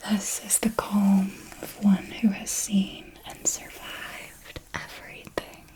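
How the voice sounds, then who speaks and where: A woman's voice: medium pitch, monotone, close to an everyday voice, rough recording. A woman voicing an elegant, possessive vampire mentor in an ASMR roleplay, whispering breathily and formally, close to the microphone. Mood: calm, instructive. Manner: slow, deliberate, formal, intimate. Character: elegant female vampire mentor, possessive, fond, calmly commanding.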